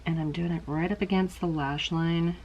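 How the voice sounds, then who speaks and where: A woman's voice: on the low side, fairly even intonation, moderate pace, a little mellow, slightly rough, lo-fi sound. A middle-aged woman, a little congested, chatting casually and rambling a bit as she walks through a makeup tutorial. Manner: chatty, rambling, self-deprecating. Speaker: beauty vlogger.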